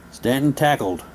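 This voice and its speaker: Slow-talking man reading aloud over background noise. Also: medium pitch. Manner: theatrical read-aloud broken by muttered asides. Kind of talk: casual commentary while reading printed props aloud.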